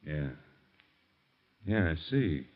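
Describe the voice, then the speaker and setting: Low-voiced American police detective. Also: male voice, sing-song, very slow, full character voice. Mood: probing. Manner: hard-boiled, probing. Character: determined, shrewd, plain-spoken police detective. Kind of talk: old-time radio crime drama acting. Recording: dull old radio recording.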